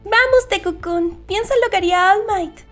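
A woman's voice: extremely high pitch, normal speed, soft background music. A woman voicing a sweet, encouraging, bashful teenage girl in a cartoon fandub. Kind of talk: fandub comic acting.

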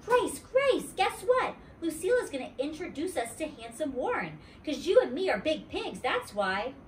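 A woman voicing a bold, chatty little girl with a high, wheedling, bouncy child voice. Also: big pitch swings, normal speed, fairly bright tone. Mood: excited. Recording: roomy, distant mic, faint noise.

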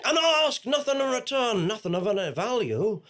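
A high-voiced, mischievous crossroads devil in a staged audio comedy. He is sly and giggly, pushing his over-innocent sales patter while pretending to be an ordinary human. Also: very animated, normal speed, a loud crowd. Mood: sly, coaxing. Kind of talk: live audio-drama comedy performance. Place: small theatre stage before an audience. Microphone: stand microphone.

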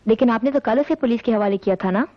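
Actress as a high-voiced, distressed woman. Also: fast, natural voice. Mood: pleading, insistent. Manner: pleading, emphatic. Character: distressed, insistent woman in a troubled family. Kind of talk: Hindi film melodrama acting. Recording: dull lo-fi film soundtrack, music, background voices.

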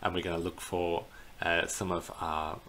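Low-voiced man, halting, noisy recording. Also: level tone, a bit bright. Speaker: bird tour guide presenting a virtual tour. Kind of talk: unscripted slideshow narration. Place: indoors in a small plain room. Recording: clear background noise.

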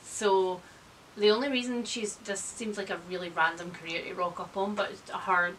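Bright, blunt woman chatting about books. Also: mid-range voice, steady tone, moderate pace, slight background noise, rough recording. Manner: blunt, opinionated, wry, mildly sweary. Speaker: book reviewer, video host. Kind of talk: casual chatty book discussion. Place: indoors, room lined with bookshelves.

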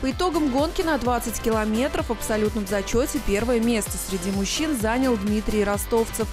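A woman reading a scripted local news voice-over in an even, clear way. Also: fairly high pitch, a little fast, music in the background. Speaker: news reporter.

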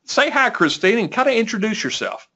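Male webinar host, higher voice, eager, muffled call line. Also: sing-song, a little fast, sharp tone. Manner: upbeat, repeats and restarts. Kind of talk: webinar presentation. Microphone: video call. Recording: dull lo-fi call audio.

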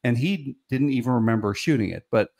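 A man's voice: medium pitch, fairly quick, vocal fry, darkish tone. A middle-aged man on a video call, telling stories in casual chat and laughing often. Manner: storytelling, laughs often, restarts. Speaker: guest, comedy writer. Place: small room at home. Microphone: studio mic on video call.

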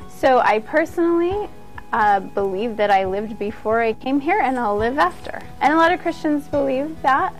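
A woman giving an informal instructional talk as a yoga teacher, conversational and earnest. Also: fairly high pitch.

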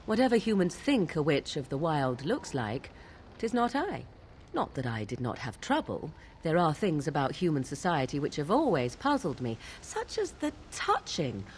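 Proud sardonic witch for a video game, lowish voice, really lilting, talks all archaic and snooty. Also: wildly swooping pitch, normal speed, darkish tone, slight background noise. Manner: archaic, formal, disdainful phrasing. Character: young witch of the wilds, haughty, sardonic, proud. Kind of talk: video game dialogue acting.

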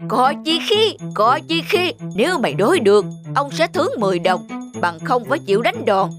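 A woman's voice: fairly high pitch, sing-song, moderate pace. A woman voicing an arrogant, plump older male village scholar in a cartoon, talking down to everyone in a haughty, scolding way. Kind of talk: cartoon voice acting. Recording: music playing under voice.

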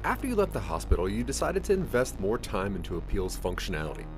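A man reads second-person dramatic narration in a fairly low voice. His intonation is animated and theatrical, with an ominous storytelling feel. Soft music sits behind him. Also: fast. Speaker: narrator of an interactive audio drama.

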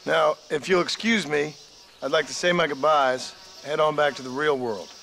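An actor playing a gruff, stoic former outlaw in a western game, terse and dry, with an American accent. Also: male voice, fairly high pitch, moderate pace, sharp tone, a little hiss or hum. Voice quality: gravelly. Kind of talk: video game western acting.

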